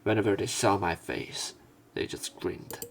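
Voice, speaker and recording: A man's voice: fairly low pitch, fairly even intonation, measured pace, a little hiss or hum. A man reading visual novel narration aloud in a subdued way, as a let's player.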